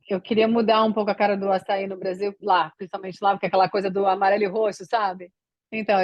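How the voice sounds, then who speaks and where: A woman's voice: medium pitch, dry recording. A woman from Brazil, a podcast guest, chatting casually and rambling with many fillers and restarts. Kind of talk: casual interview chat.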